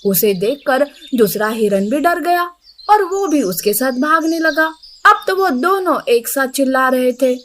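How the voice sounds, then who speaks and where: A woman's voice: sits high, expressive, unhurried, a bit bright, slight background noise. A woman narrating a scripted moral story for children. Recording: birdsong and ambience behind the voice.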